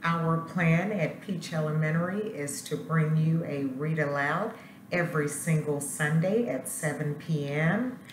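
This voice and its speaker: A woman with a low voice reads to children as a school principal. She takes her time and tells the story expressively, stopping for asides. The room echoes, with faint noise behind her. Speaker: elementary school principal reading aloud to students. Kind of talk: children's picture book read-aloud with asides. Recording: roomy echo, faint background noise.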